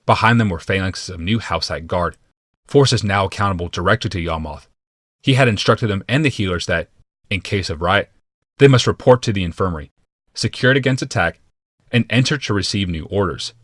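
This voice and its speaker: A man narrating a fantasy audiobook from a script in a measured, deliberate and dramatic manner. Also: low voice, expressive, fairly bright tone, no echo, studio quality.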